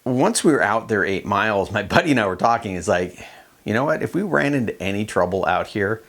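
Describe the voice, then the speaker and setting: Low-pitched middle-aged American man explaining. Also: animated, fairly quick, a bit bright. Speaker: fishing show host and instructor. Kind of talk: informal instructional explanation.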